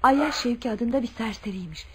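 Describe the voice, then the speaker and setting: A woman's voice: on the high side, a little fast, noisy background, natural voice. An actress playing a concerned, protective motherly woman in a radio drama, with an earnest, emphatic delivery. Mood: earnest reporting.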